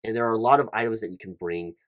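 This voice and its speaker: A man's voice: on the low side, very fast, fairly bright tone, no room sound, close to an everyday voice. A man playing a fussy, officious recruiting manager in a one-sided interview roleplay, rambling and repetitive with pedantic detail. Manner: rambling, repetitive, pedantic, stumbling over long lists. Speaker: ASMR roleplay performer.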